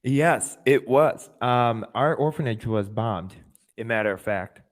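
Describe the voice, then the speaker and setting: A man's voice: medium pitch, a little slow. A man in his twenties recounting his life story as an interview guest, often repeating and rephrasing himself as he explains. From born in Russia, adopted as a child. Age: 20s. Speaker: podcast guest.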